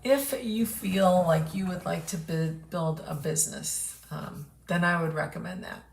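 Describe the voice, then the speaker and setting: Female host, hoarse from losing her voice, slow relaxed product walkthrough, roomy noisy recording. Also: lowish voice. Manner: chatty, unhurried, clears throat often. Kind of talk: casual product showcase livestream. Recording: echoey room with background noise.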